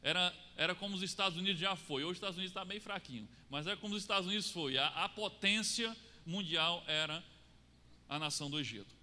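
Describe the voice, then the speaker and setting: A Brazilian man preaching a sermon, swinging between raised lines and low, quiet drops for emphasis. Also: fast. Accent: Brazilian Portuguese. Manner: rises and falls, drops low to stress points. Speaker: pastor. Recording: long reverb of a church hall.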